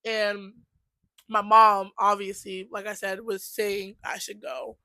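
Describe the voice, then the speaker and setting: A young woman in her twenties telling a personal story in a casual vlog, animated and chatty, full of "like" fillers and laughing asides. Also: medium pitch, slow pace, no room sound. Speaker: vlogger.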